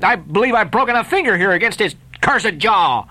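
A man voicing a fierce sailor ringleader who speaks out forcefully and defiantly. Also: high-pitched, lively intonation, fairly quick, voice pushed up, exaggerated voice. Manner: forceful, raised defiant speech.

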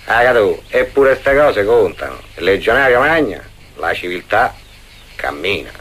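An actor with a Roman accent playing a gruff, earthy legionary, blunt and colloquial, in a comic radio play. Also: male voice, fairly low pitch, sing-song, unhurried, fairly bright tone, exaggerated voice. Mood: grumbling.

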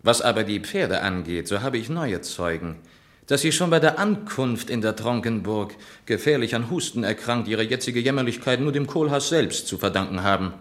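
A man's voice: mid-range voice, animated, moderate pace, close to an everyday voice. An actor playing an arrogant, scheming nobleman in a German radio drama, speaking in a rhetorical, emphatic way. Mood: haughty, triumphant. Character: arrogant, scheming young nobleman defending his kin.

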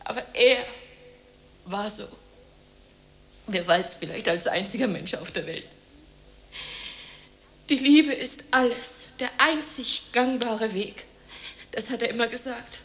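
A woman's voice: takes it slowly, pauses often, a bit bright. An actress playing a lonely, jobless woman, bitter and despairing, in a theatrical radio drama monologue. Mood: wistful, wounded. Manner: theatrical, swinging from shrill ranting to breathy murmuring.

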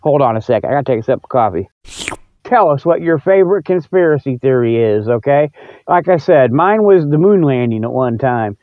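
A man's voice: medium pitch, expressive, fairly quick, no echo. A man hosting a podcast, rambling casually in a folksy, repetitive, stop-and-start way. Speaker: podcast host. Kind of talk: casual rambling solo talk-show monologue. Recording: narrow, phone-like sound.

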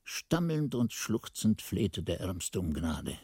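A reflective monk recounting events in a radio play. His voice sits fairly low and moves through lively rises and falls. He tells it earnestly and deliberately, in a close, dry sound. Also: breathy edge, dry recording, full character voice. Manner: expressive, deliberate storytelling. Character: monk narrator recalling his days as novice, earnest, reflective. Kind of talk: literary first-person radio drama narration.

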